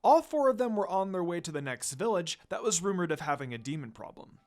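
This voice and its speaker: A narrator reading a fan fiction story aloud in an expressive amateur storytelling style. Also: medium pitch, brisk.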